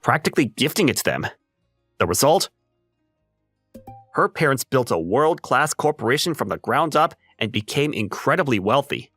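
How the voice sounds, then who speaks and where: A man playing an ordinary, level-headed high school boy who narrates a manga dub story in a wry, casual way. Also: big pitch swings, normal speed, a bit bright, no room sound, soft background music. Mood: wry, explanatory. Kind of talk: scripted first-person story narration and dub acting.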